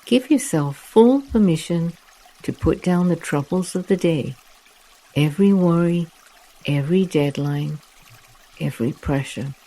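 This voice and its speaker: This is a meditation guide with a warm, mellow voice who talks very slowly. The delivery is soft and soothing, with long pauses that leave room to breathe, as in guided relaxation narration. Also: mid-range voice.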